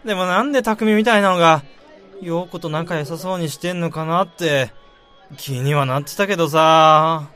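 A man's voice: fairly high pitch, moderate pace, pushed and bright, clear, resonant tone, soft background music. A man acting in a radio drama as a nosy, easily miffed old friend, talking in casual, colloquial banter. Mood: incredulous, miffed.

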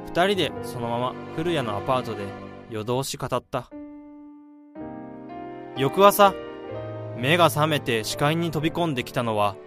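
A young man acting in a radio drama as a steady, caring friend, speaking in a measured, reflective way. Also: on the high side, close to an everyday voice. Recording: music and crowd sounds behind the voice. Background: music and crowd sounds.

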